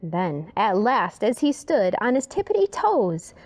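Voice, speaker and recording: A woman reading a rhyming children's story aloud in an expressive, dramatic way, sometimes dropping to a hush. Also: medium pitch, measured pace.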